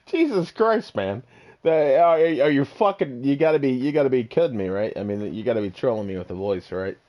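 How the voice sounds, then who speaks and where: A man's voice: mid-range voice, animated, normal speed, highs cut off. A man hosting a podcast in loose, profane casual talk, laughing easily. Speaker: podcast host.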